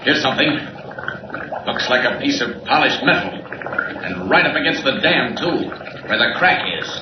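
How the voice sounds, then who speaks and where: A man's voice: mid-range voice, dull audio, lightly acted. A man playing a resolute superhero in a 1940s radio serial, declaiming his thoughts aloud as he acts. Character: resolute male superhero talking to himself in action. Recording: echoey, distant, noisy old radio recording.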